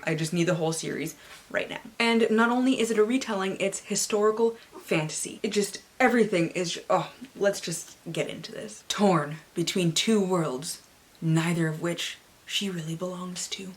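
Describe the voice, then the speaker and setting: A woman presenting a book haul vlog, excitable and theatrical, with dramatic emphasis as she reads. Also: lowish voice, moderate pace. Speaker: book reviewer and vlogger.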